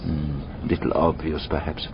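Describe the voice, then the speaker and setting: An actor playing a shrewd, methodical foreign detective in a radio drama, deliberate and courteous, musing aloud in rhetorical questions. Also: male voice, very deep voice, flat delivery. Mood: pondering, explaining. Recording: dull radio recording, clearly noisy background.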